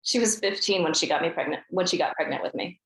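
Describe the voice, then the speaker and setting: A woman's voice: on the low side, fast talker, a bit bright. A woman reading reflective voice-over narration at a table read. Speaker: narrator as the grown daughter. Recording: echoey room.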